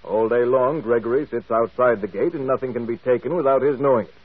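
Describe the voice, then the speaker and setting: An actor playing a brisk, self-assured, skeptical office boss in a radio drama. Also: male voice, medium pitch, no echo, close to an everyday voice. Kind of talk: old radio drama acting. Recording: muffled, tinny vintage radio broadcast.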